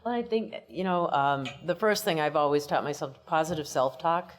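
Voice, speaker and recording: Woman with a very deep voice, earnest panel speaker sharing advice. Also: moderate pace. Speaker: panelist, businesswoman. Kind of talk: panel talk to a live audience.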